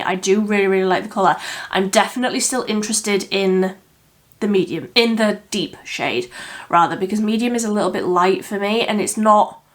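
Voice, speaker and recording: Female vlogger, mildly croaky with a cold, candid casual product-review chatter. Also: mid-range voice. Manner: chatty and candid, with frequent fillers. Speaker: beauty vlogger.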